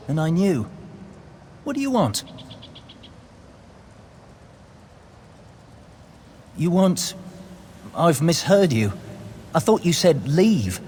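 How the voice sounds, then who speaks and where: An actor playing a proud, guilt-ridden undead warrior general in a video game, brooding and dramatic. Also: male voice, fairly high pitch, darkish tone. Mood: disbelieving, remorseful. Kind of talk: video game character dialogue. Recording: long hall reverb, background noise.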